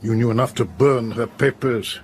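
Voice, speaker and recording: An actor playing a suave, probing police investigator in a radio drama, husky and deliberate. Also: male voice, medium pitch, slight background noise, close to an everyday voice. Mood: grave, coaxing.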